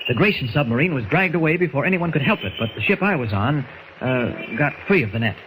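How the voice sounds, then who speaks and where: Noisy vintage radio recording, man playing quick-talking male reporter, brisk, confiding, authoritative, radio serial hero. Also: fairly high pitch, animated, natural voice. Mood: brisk, purposeful. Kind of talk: 1940s radio acting.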